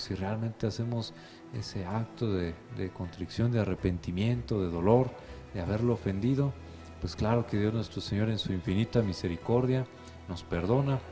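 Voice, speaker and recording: A priest explaining faith on a talk program, patient and explanatory. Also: male voice, lowish voice, mellow. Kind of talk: religious talk show.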